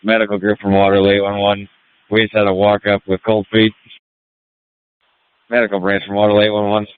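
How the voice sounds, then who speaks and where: Fast-talking low-voiced man on tinny radio. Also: completely flat delivery, a little hiss or hum. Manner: clipped, procedural. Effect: two-way radio. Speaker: emergency medical field responder. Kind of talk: radio traffic status reports. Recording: tinny narrow-band radio channel.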